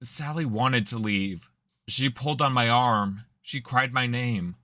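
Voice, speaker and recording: A man voicing a young man who haltingly recounts a painful childhood memory in an audio drama, in a subdued, hesitant way. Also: medium pitch, bright, forceful tone, no echo, studio quality. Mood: wistful.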